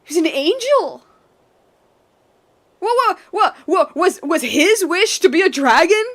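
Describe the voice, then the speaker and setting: A woman game streamer giving casual, animated reaction commentary on a playthrough, slangy and repeating her words. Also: very high-pitched, slow pace.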